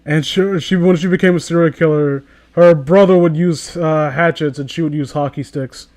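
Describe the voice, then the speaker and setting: A man's voice: on the high side, fairly even intonation, normal speed, darkish tone. A man hosting a comedy reading, commenting casually as he reads aloud, with a sarcastic, foul-mouthed manner. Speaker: host of a comedy reading channel.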